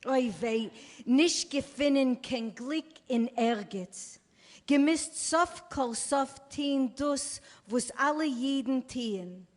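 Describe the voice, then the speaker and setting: A woman with a fairly high voice performs a literary reading very slowly and dramatically. Her voice rings through a long, reverberant hall from the stage. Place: live event on stage.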